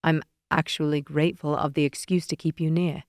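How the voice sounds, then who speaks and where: A woman voicing a calm, possessive man of power with a dry, deadpan delivery, in an audiobook. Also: low-pitched, fairly quick, no echo. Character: brooding, possessive ruler man, coolly dry.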